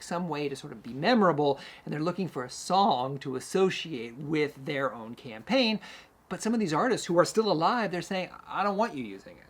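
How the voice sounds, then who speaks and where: A man hosting a music talk, telling history stories casually and animatedly, acting out short quotes as he goes. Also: a little high. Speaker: host, music educator and conductor. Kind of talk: casual storytelling about classical music history.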